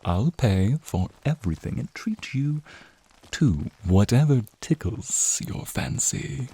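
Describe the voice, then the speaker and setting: A man as a slow, seductive, gravelly naga. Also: medium pitch, expressive, mellow, exaggerated voice, low-quality recording. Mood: flirty, playful. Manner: slow, seductive, drawn-out, breathy teasing. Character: flirtatious, teasing male naga, crimson-eyed protective companion. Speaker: voice actor. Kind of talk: ASMR roleplay, addressing the listener.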